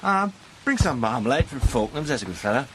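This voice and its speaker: An actor playing a jovial fellow officer with light, teasing banter. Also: male voice, medium pitch, very animated, a little fast, a bit bright, slight background noise. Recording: radio drama with rain effects.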